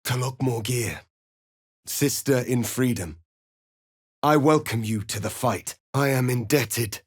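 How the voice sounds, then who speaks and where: A man's voice: medium pitch, slow pace, slightly rough. A man playing a proud, embittered alien warrior prince in a fantasy video game, with grave, formal, imperious phrasing. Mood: solemn, grateful.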